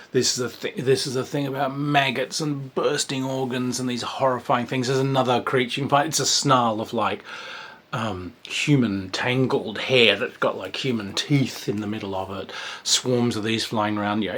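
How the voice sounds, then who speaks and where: A man talks through a tabletop game in an easygoing, meandering way, full of fillers and restarts. His voice sits a little low and has a husky grain. A dry, dark humour runs through his delivery. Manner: rambling and informal, with many fillers and restarts. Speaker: game reviewer. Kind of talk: casual spoken game review.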